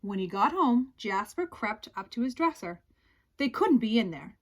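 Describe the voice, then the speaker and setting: A woman reading a children's picture book aloud as a storyteller, in an expressive, dramatic way with drawn-out suspense. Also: a little high, a little hiss or hum.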